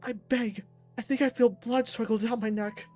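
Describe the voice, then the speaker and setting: A woman's voice: on the high side, steady tone, normal speed, mellow, faint music behind. A woman reading a visual novel aloud as dramatic scripted narration. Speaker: visual novel reader and voice performer.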